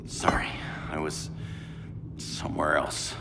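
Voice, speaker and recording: An actor playing a guilt-ridden but strong-willed male superhero in a video game cutscene, gritty and measured, with loud music behind him. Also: whispered, close to an everyday voice. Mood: resolute.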